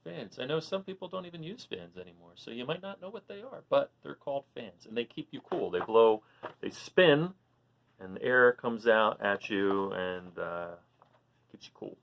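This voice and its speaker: A woman reading a picture book aloud for children, animated and often stopping to ask the listener questions. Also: a bass voice, normal speed, creaky. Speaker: storybook reader for children.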